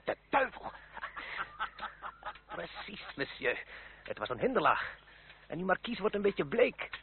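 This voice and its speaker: An actor playing an excited, admiring gentleman onlooker in an old radio play. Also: sits high, measured pace, phone-quality sound, exaggerated voice. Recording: old radio recording, thin, very noisy.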